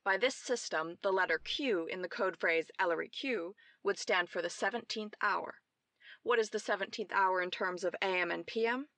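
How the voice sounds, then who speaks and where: A woman's voice: mid-range voice, moderate pace, fairly bright tone, no room sound, close to an everyday voice. An actress playing a brilliant young woman detective, explaining her deductions precisely in old-time radio mystery style. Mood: patient, instructive.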